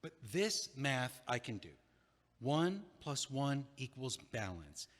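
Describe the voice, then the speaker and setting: A man playing an earnest, easily flustered, loyal young friend in a naturalistic comedic audio play, speaking haltingly. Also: mid-range voice, big pitch swings, fairly bright tone. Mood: earnest, insistent. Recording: echoey hallway-like reverb.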